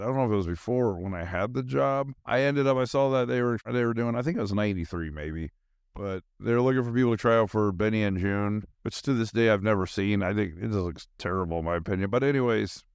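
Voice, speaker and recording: A man's voice: fairly low pitch, smooth, dry recording, studio quality. A middle-aged man with an American accent, hosting a podcast in a casual, rambling, slangy monologue. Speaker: podcast host.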